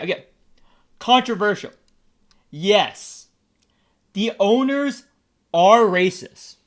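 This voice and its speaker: Young man, casual streamer, provocative and crude, high voice that swings a lot. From United States. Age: young adult. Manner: brash, joking, crude and provocative.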